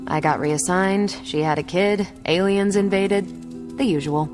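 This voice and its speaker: A woman's voice: medium pitch, unhurried, a little mellow, faint music behind, lightly acted. A woman playing a seasoned, breezy heroine in a video game, with a casual, teasing, dry delivery. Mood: wry, casual. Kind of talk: video game cutscene acting.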